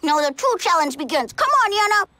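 A woman's voice: very high voice, sing-song, fairly quick, noisy background. A woman voicing an earnest little ninja girl in a cartoon video game, solemnly dramatic. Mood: alarmed, determined.

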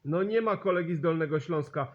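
A man's voice: fairly high pitch, a little fast, lo-fi sound, a little hiss or hum. A sociable man hosting amateur radio contacts, chatting and repeating call signs and sign-offs, on a radio recording. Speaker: CB radio operator and channel host. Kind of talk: amateur radio contact chat, exchanging signal reports.

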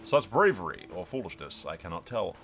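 An animated, fairly low-voiced man narrates a strategy game as theatrical role-play. His delivery is bright and forceful, sliding between storyteller drama and casual, sweary asides. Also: fairly quick, soft background music. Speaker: gaming video host.

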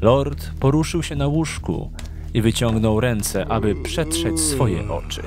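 Male storyteller over clear music. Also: medium pitch, lively intonation. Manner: measured storytelling. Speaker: narrator. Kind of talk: scripted audio drama narration.

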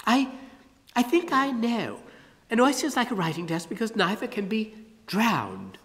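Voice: medium pitch, very animated, normal speed, a bit bright, voice pushed up. A narrator voicing a clever, proud young girl who speaks earnestly and musingly, with a long hall reverb on the recording.

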